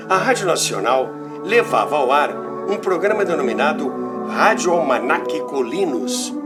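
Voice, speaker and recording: Slow, expressive Brazilian man telling stories. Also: on the high side. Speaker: radio history podcast host. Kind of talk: scripted storytelling narration.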